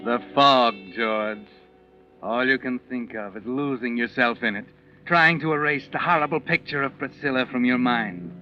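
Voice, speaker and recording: An actor playing an ominous, all-knowing mystery narrator in 1940s radio suspense style. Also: male voice, mid-range voice, normal speed, close to an everyday voice. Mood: grim, ominous. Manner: ominous, addressing the character as "you". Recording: dull old 1940s radio recording, organ music. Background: dramatic music.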